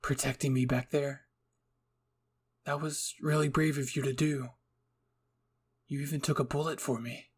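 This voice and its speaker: Man as a hushed, lonely teenage anime boy. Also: mid-range voice, little pitch movement, unhurried, pauses often, breathy edge. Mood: tender. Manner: hushed, hesitant, soft-spoken. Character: gentle, lonely teenage boy, sleepy and tender. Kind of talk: anime fan-fiction ASMR roleplay.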